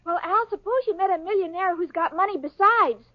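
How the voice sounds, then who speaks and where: A woman's voice: extremely high pitch, fairly quick, heavy background noise. An actress playing a sweet, scatterbrained young woman in a 1940s radio sitcom, earnest and bubbly. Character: ditzy, sweet young woman, cheerful and muddled. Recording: dull lo-fi old radio broadcast.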